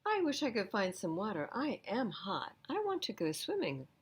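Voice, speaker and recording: A woman's voice: medium pitch, wildly swooping pitch, moderate pace, breathy edge. A woman voicing a curious, polite young male seal from a picture book, speaking simply and earnestly. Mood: wistful, earnest. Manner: simple, earnest storybook speech.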